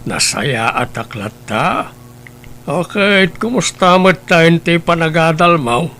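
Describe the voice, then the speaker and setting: A man playing a grave elderly grandfather who speaks slowly and reproachfully in a family drama. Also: a little high, lively intonation, sharp tone, slight background noise, full character voice. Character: grave elderly grandfather, stern but caring. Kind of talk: Ilocano radio-style family drama.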